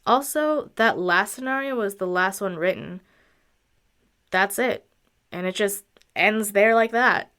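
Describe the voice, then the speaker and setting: A woman's voice: medium pitch, measured pace, pauses often, fairly bright tone, smooth, close to an everyday voice. A woman playing a skeptical, sensible traveling musician in a radio drama, questioning and matter-of-fact.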